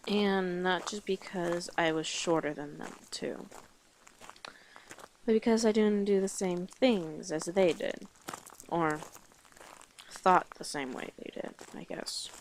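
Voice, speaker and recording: Woman playing a slow-talking, low-voiced female orc traveler. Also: poor audio. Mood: reflective, guarded. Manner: unhurried, hesitant, dry-humored, matter-of-fact. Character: female orc traveler, small, wry, gruff but caring. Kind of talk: immersive audio roleplay addressed to the listener. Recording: clear outdoor nature ambience noise.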